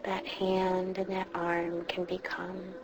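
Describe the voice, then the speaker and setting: A woman leading a guided meditation in a soothing, reassuring manner, with drawn-out pauses between phrases. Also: fairly low pitch, completely flat delivery, over music. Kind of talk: guided meditation, instructing a listener. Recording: clearly noisy background ambience.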